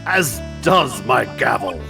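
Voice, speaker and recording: Man doing a gravelly, pompous old judge. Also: medium pitch, very animated, takes it slowly, full character voice. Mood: stern. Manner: solemn, formal, pompous. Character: stern, formal courtroom judge, an older man. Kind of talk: comedic live fan dub of a video game. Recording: game music behind the voice.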